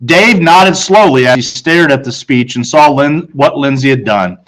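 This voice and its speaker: A man reading a children's story as expressive scripted narration, dramatic and with deliberate pauses. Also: medium pitch, low-quality recording. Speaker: audiobook narrator.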